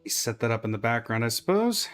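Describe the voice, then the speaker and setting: A man's voice: mid-range voice, sing-song, fairly quick. Casual male game streamer over music. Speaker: livestreamer. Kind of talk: casual gaming stream chat.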